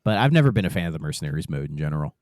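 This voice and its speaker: A man's voice: rumbling low, very animated, very fast, darkish tone, no room sound, clean studio sound. A man hosting a gaming podcast, laid-back and hedging, laughing easily with his guests. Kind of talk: casual gaming chat.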